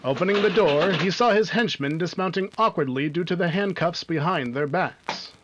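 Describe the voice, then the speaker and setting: A man narrates an old-time radio adventure from a script. His voice sits somewhat higher than average. The delivery is that of a storyteller linking dramatic scenes. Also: moderate pace, muffled recording, background noise.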